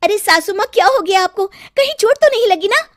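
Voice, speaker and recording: Cartoon heroine: sweet-natured young villager voiced by a woman, very high pitch, earnest and concerned. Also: lively intonation, a little fast. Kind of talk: cartoon story acting.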